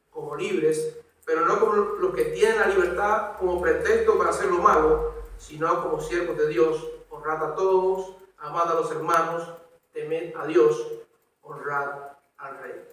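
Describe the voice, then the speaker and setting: A man's voice: on the high side, fairly bright tone. A man with a Cuban accent preaching an expository sermon, often repeating words as he rephrases. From Cuba. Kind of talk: expository sermon with scripture reading. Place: church hall. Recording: echoey room, distant microphone.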